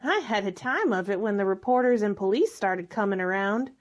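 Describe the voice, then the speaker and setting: A woman's voice: medium pitch, expressive, fairly quick, lightly acted. A woman acting in a radio drama as a lonely, faded spinster who likes her wine, wistful, bitter and self-pitying. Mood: lonely, resigned.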